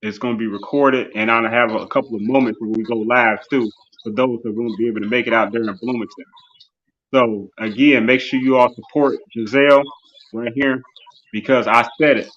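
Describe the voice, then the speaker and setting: A man's voice: medium pitch, steady tone, brisk, a bit bright, highs cut off. A man in his forties hosting an interview show, closing it out in a loose, rambling way full of "you know" and "um.". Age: 40s. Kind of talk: casual live-stream show closing.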